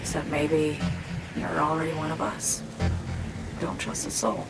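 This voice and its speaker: An intense, secretive female hacker with a slightly low voice. She confides in short, deliberate phrases, earnest yet guarded, in game cutscene style. Music plays clearly behind her. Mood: guarded, intimate. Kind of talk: cinematic game acting, confiding dialogue.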